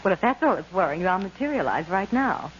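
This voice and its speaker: A woman acts a breezy female spirit in a vintage radio comedy. She is coaxing and self-assured, with animated intonation, on a dull, hissy old recording. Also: medium pitch, fast talker, natural voice. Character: breezy, coaxing female ghost posing as nurse. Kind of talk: 1940s radio comedy acting.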